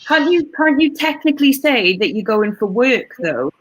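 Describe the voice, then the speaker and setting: A woman's voice: high voice, big pitch swings, normal speed, poor audio. A Welsh woman hosting a casual live stream, chatting in a rambling way with lots of fillers and restarts. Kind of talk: casual chat.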